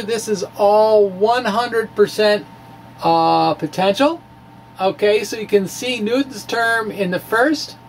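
A man's voice: sits high, poor audio. Quirky man over noticeable background noise. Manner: quirky, theatrical, jokey, folksy. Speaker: science video blogger. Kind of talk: dramatic reading of a physics blog with informal asides. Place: small indoor room with bookshelves. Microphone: microphone on a stand in front of him.

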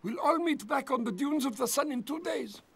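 An actor voicing a fussy, excitable little scorpion sidekick in a cartoon. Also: male voice, very high voice, normal speed, vocal fry, exaggerated voice. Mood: fretful. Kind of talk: cartoon creature acting.

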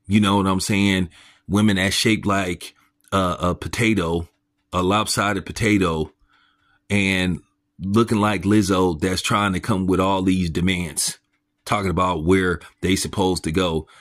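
A man's voice: steady tone, a little slow, a bit bright. A man hosting a commentary channel, ranting in a blunt, mocking and crude way, his voice jumping up when he is incredulous. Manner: pitch jumps up when incredulous.

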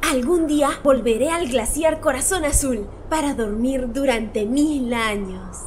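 Woman playing a high-voiced young ice sorceress. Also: unhurried. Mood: dreamy. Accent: Peruvian. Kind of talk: cartoon fan dub acting. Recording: noisy background.

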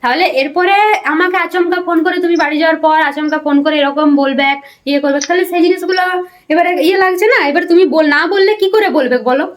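A woman's voice: very high voice, fast talker. A young woman, in a confrontational phone conversation, accusing and insistent and often pressing for agreement. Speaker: prank caller.

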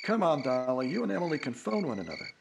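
A man's voice: a little high, big pitch swings, fast, vocal fry, lo-fi sound, background noise. A man acting as a grouchy, weary husband in a radio play. He grumbles curtly with swinging intonation, insects chirping behind. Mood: grumpy. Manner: grumbling, curt. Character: grumpy, tired suburban husband. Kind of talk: old-time radio drama acting. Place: indoors at home, video call setup.

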